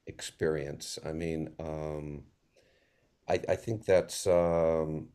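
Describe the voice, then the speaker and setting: Slow, halting low-voiced man. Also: darkish tone. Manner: halting, searching for words, deliberate. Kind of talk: reflective panel discussion.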